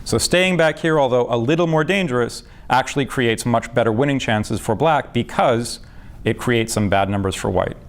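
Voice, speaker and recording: A man's voice: mid-range voice, normal speed. A man teaching backgammon, walking step by step through a position from one of his own matches. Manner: methodical, patient, with fillers. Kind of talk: explanatory game lesson.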